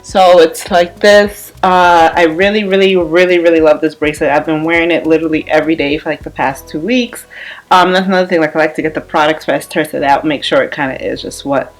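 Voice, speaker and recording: Woman, late twenties, low voice, casual rambling vlog talk, faint background music. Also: steady tone, fairly quick, rough recording. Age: 20s. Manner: rambling, repeats herself, many fillers. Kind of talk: casual chat while doing her hair.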